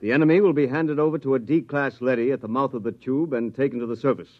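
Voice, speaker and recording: An actor playing a coldly courteous, merciless security colonel with measured, formal speech in a 1950s radio drama. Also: male voice, medium pitch, steady tone, natural voice. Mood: cold, smug. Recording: dull lo-fi 1950s radio recording.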